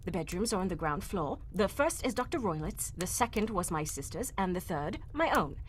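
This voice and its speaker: A woman playing an anxious young gentlewoman telling her family tragedy in a live old-time radio drama. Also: fairly low pitch, big pitch swings, moderate pace, slight background noise. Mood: solemn.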